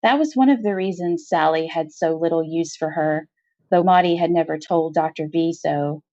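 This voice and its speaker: A woman reading a short story aloud as expressive scripted narration. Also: deep voice, normal speed. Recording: dull, roomy home recording.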